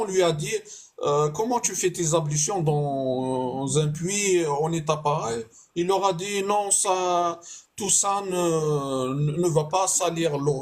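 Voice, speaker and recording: A man's voice: on the high side, unhurried. A man in a heated online debate who hesitates, stammers and fills gaps with fillers, heard over a voice call.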